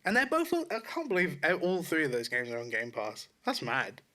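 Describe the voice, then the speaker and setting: A man's voice: a little high, huge pitch swings, brisk, sharp tone. A British man co-hosting a casual gaming podcast, talking in a slangy, sweary, emphatic way. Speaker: podcast co-host.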